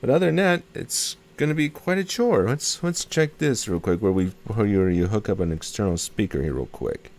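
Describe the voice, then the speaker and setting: A man's voice: on the low side, expressive, moderate pace, few pauses, a little mellow. A man talking casually and tentatively through a hands-on repair demonstration, thinking aloud and often repeating himself as a hobbyist host. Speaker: hobbyist video host showing a radio repair. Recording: clear background noise.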